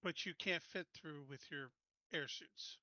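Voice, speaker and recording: A man playing a tabletop game, talking through plans casually in deliberate, stop-and-start phrases. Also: on the high side, bright, forceful tone, no echo, dull audio. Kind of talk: casual game planning talk.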